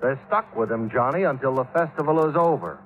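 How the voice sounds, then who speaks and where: An actor playing a worried, exasperated insurance executive with an American accent, earnest and emphatic, in a 1950s radio drama. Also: male voice, medium pitch, brisk, natural voice. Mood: grumbling, worried. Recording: muffled vintage 1950s radio recording.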